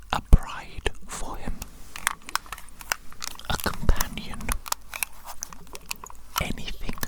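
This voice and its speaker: A woman performing an ASMR roleplay as a grandiose, scheming evil scientist, whispering theatrically and repeating her phrases. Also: low-quality recording. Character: grandiose evil mad scientist doctor, scheming and self-important.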